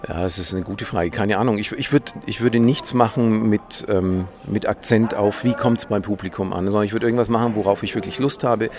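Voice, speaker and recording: Male teacher-poet, quick, low voice, self-deprecating, hesitant. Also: fairly even intonation, soft background music. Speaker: teacher and poetry slammer. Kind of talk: casual interview answers.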